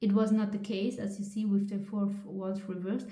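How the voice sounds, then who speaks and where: A woman with a German accent, a native German speaker, giving a tarot reading in a halting way with many pauses and restarts. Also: medium pitch, flat delivery, warm tone, echoey room. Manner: hesitant, halting with frequent ums and restarts. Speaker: tarot reader.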